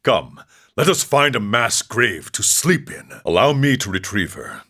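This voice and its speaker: A man voicing an older, serene and wry wandering ascetic monk in a video game, speaking in a solemn, measured, philosophical way. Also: medium pitch, big pitch swings, bright, forceful tone, heavily acted. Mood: wry, musing. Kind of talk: scripted game character dialogue.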